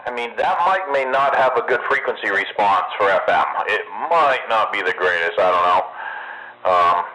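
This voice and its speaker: A man's voice: mid-range voice, sharp tone. A man chatting casually on CB radio in a rambling, technical, sweary way. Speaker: amateur CB radio operator. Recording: noisy CB radio audio with static.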